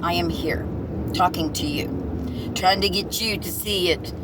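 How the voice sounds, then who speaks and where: A woman host, giving blunt, profane political commentary that turns heated and nearly shouted. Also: fairly low pitch, measured pace. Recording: loud street and traffic noise behind.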